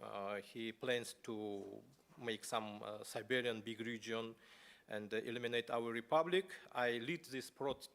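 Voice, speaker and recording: A man from Buryatia speaking English as an at a conference, telling his personal story in a halting way with many pauses and fillers. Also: medium pitch, fairly bright tone. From Buryatia, Russia. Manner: halting, many fillers and restarts, non-native phrasing. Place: parliament conference hall.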